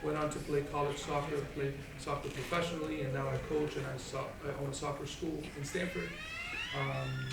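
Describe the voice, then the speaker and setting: A man's voice: on the high side, little pitch movement, brisk, gravelly, recorded from a distance. A man from Colombia, a soccer coach, introducing himself to an assembly in a noisy, echoey hall. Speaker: soccer coach, former professional player. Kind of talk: bilingual self-introduction. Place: school assembly stage.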